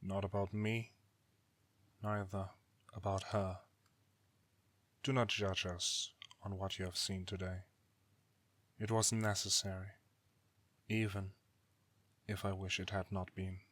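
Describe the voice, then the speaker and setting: A man's voice: deep voice, little pitch movement, a bit bright, heavily acted. A man playing an ancient god in an audio drama, a bitter, weary brother who speaks formally and solemnly without remorse. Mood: weary, justifying. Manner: formal archaic diction, grave, deliberate. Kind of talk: dramatic audio roleplay acting.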